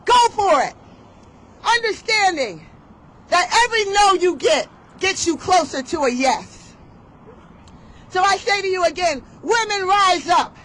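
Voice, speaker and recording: A woman's voice: very high voice, animated, measured pace, long pauses, a bit bright, low-quality recording. A woman giving an outdoor motivational rally speech, leading call-and-response with rhythmic, emphatic, rhyming delivery. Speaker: rally speaker.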